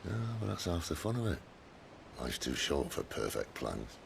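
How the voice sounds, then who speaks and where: Very deep, gravelly old rogue. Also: male voice, very animated, moderate pace, noisy background, full character voice. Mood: wry banter. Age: older. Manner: wry, breezy, mock-philosophical. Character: roguish, cheerful older mentor and rebel leader. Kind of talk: video game voice acting.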